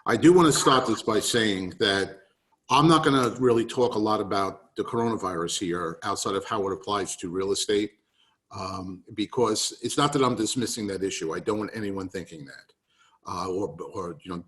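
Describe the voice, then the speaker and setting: A man's voice: lowish voice, normal speed, fairly bright tone. A man with a New York accent giving an emphatic, rallying business talk on a video call. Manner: emphatic, rallying, repeats key points. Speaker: webinar presenter.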